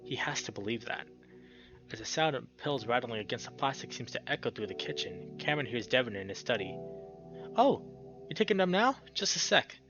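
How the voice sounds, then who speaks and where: Man narrating a story, slightly muffled recording. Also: on the low side. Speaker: visual novel playthrough narrator. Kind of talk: dramatic read-aloud narration of a visual novel.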